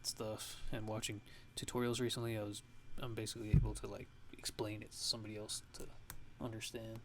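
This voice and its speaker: A man giving a casual music production tutorial in a halting, laid-back, ASMR-style way, talking offhand. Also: on the low side, monotone, heavy background noise.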